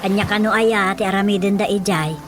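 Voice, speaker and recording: A woman's voice: medium pitch, fairly even intonation, brisk, music in the background, lightly acted. A woman playing a middle-aged, superstitious village mother in a radio drama, telling things expressively with a Filipino Ilocano accent. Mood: skeptical, concerned. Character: chatty superstitious village mother who believes in folk healing.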